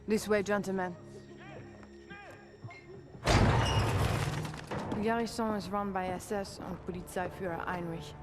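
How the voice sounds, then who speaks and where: An actress playing a resolute Resistance fighter, speaking slowly and gravely with a French accent. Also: female voice, medium pitch, little pitch movement, over loud music, natural voice. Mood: grave resolve. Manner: slow, grave and determined. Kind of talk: video game cutscene acting.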